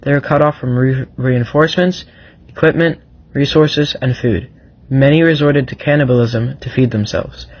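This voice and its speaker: A man with a mid-pitched voice reads scripted radio news. The recording is dull and lo-fi. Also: normal speed. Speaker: newsreader. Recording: dull lo-fi sound.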